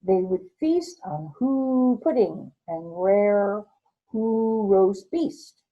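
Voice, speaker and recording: An American woman hosting a read-aloud storytime for children in an encouraging, expressive manner. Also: medium pitch, drawn-out words, lo-fi sound. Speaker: host, nonprofit executive director. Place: office.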